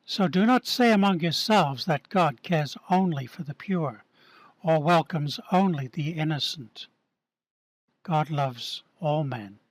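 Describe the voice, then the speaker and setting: A man voicing an earnest male preacher in an amateur radio play, speaking slowly and deliberately. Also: a little high, no echo. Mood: earnest, preaching. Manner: slow, deliberate sermon-like delivery. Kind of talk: amateur radio drama reading.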